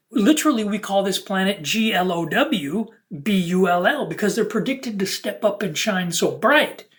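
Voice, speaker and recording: A speaker of unclear sex delivering a rambling spiritual monologue as a video host, in an earnest, preachy and fragmented way. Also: medium pitch.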